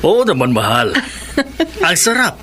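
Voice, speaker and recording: A man's voice: high voice, wildly swooping pitch, takes it slowly, fairly bright tone, heavily acted. A man with a Filipino accent playing a sweet-talking, evasive bachelor lover in a radio drama. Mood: wooing, coaxing. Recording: clearly noisy background.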